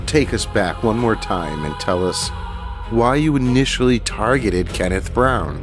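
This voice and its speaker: Man playing probing investigator, widely varied pitch. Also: expressive, unhurried, over music. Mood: patient, probing. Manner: controlled, coaxing, questioning. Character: patient, probing male police investigator questioning a suspect. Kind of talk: audio horror drama acting.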